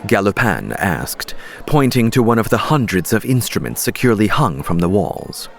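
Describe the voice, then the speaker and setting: A man's voice: fairly low pitch, big pitch swings, normal speed, vocal fry, a little hiss or hum. A man reading scripted third-person narration for a fantasy audiobook.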